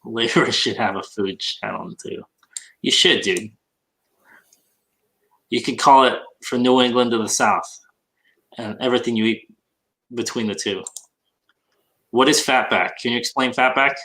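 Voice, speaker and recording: A man's voice: mid-range voice, measured pace, pauses often, pushed and bright, low-quality recording. A man with a Minnesota accent hosting a casual podcast hangout, teasing his co-host in friendly banter while he works. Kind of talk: casual chat while listing items.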